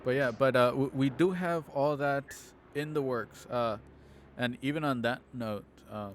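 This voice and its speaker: A man hosting an online show, chatting casually and haltingly on a livestream about plans for it. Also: mid-range voice, slight background noise. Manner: halting, informal. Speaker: host and organizer of an online radio drama show. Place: at home on a multistream video call.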